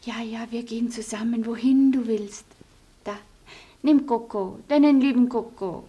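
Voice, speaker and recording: Radio play: a genteel French lady, high-pitched, theatrical, anxious and coaxing. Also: normal speed, warm tone, slightly rough, lo-fi sound. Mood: tender soothing.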